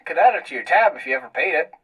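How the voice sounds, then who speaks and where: A man acts out a gruff fixer in a tabletop game, curt and sarcastic. His fairly high male voice sounds slightly off-mic, as if over a radio, while he needles and haggles. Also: lively intonation, rapid-fire, a bit bright, recorded from a distance, low-quality recording. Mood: needling. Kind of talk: improvised tabletop roleplay.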